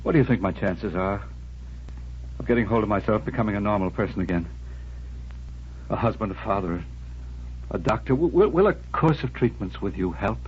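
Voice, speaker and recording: An actor playing a troubled, indecisive doctor in a hesitant, brooding 1940s radio drama style. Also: male voice, lowish voice, expressive, fairly quick, pauses often, natural voice. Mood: anguished, hesitant. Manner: hesitant, brooding, self-deprecating. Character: troubled doctor, brooding, indecisive husband and would-be psychiatric patient. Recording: dull, hissy old radio broadcast, humming.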